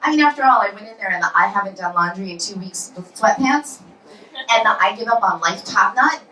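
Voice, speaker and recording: A woman in her forties tells punchy, self-deprecating stories on a comedy club stage. Her voice is bright and full of swings in pitch, picked up from a distance, with the room ringing around it. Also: expressive, brisk. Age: 40s. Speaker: stand-up comedian. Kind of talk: stand-up comedy set. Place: comedy club in New York. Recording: roomy club sound, from far off.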